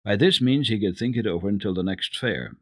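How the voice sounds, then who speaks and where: A man reading a children's story aloud as a radio host, in a deliberate, expressive storytelling manner. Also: fairly low pitch, no room sound, clean studio sound. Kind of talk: dramatic storybook narration.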